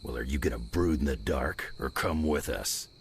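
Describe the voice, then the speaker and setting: An actor playing a gruff, wry, rugged monster tracker in a video game, with a gravelly, raspy voice and a folksy, blunt way of talking. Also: male voice, a bass voice, normal speed, slight background noise. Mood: dry, ready. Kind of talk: video game character acting.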